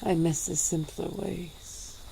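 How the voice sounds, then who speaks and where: A woman playing a slow, gravelly vampire woman. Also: fairly even intonation, slight background noise, exaggerated voice, low-quality recording. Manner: slow, soft-spoken, with low chuckles. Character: ancient brooding female vampire, wry and weary. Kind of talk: ASMR roleplay.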